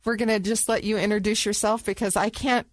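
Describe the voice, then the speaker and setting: A woman's voice: medium pitch, steady tone, a little fast, no room sound. Chatty woman co-host, dry close sound. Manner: upbeat and chatty. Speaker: podcast co-host. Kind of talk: casual interview talk.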